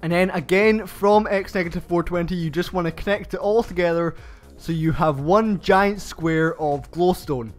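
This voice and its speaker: Young man, high voice, stuffy nasal hay-fever sound, methodical tutorial host, faint music underneath. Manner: slow, methodical step-by-step instructions. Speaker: channel host. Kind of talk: game build tutorial voice-over.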